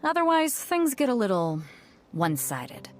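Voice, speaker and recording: A woman's voice: fairly high pitch, very animated, normal speed. A woman voicing a boisterous, confident female ship captain in a game cutscene, brash and teasing. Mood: boastful.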